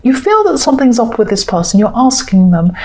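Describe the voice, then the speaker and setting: A woman with a croaky voice giving a tarot reading to viewers, talking in a reassuring, repetitive way and thinking aloud with fillers. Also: fairly high pitch, big pitch swings, a little fast, a little mellow. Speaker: tarot reader.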